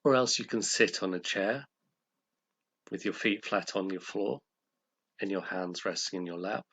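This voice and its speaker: A man leading a guided meditation, speaking in a calm, gentle, measured way with pauses between phrases. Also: low-pitched, slightly rough.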